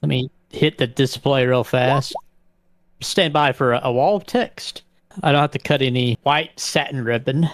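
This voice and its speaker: A man's voice: mid-range voice, normal speed, no room sound. A man playing a tabletop vampire game, narrating his character's actions casually and often repeating words as he goes.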